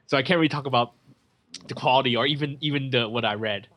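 A man's voice: medium pitch, quick pace, a bit bright. A man talking casually about a film as a podcast co-host, with lots of fillers and restarts. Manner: chatty, many fillers and restarts.

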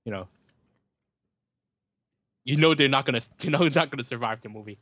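A man giving casual, joking running commentary on a film as a host, with sardonic asides and halting, repeated words. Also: mid-range voice, fairly bright tone, no room sound.

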